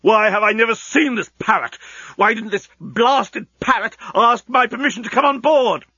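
A man's voice: sits high, normal speed, bright, forceful tone, breathy edge, no room sound, lo-fi sound, pitched above the performer's own voice, exaggerated voice. A man voicing a vain, melodramatic elderly genius in a histrionic storybook performance. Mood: furious, outraged. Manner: histrionic, emphatic.

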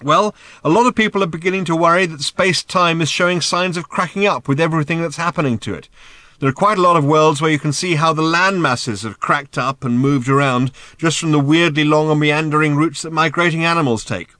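A man voicing a brash, excitable male space traveller in an audiobook, speaking loudly and emphatically. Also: sits high, quick pace, dry recording, clean studio sound, voice pushed up. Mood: shouting. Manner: loud, emphatic.

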